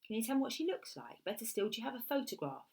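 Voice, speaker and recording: A woman's voice: on the high side, very animated, racing, darkish tone, voice pushed up. A woman voicing a cool, careful male hired killer in a terse, businesslike, controlled way. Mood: probing.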